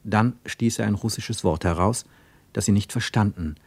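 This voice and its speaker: Low-voiced man narrating, close and dry. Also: normal speed, breathy edge, no room sound. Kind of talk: scripted literary narration for a radio play.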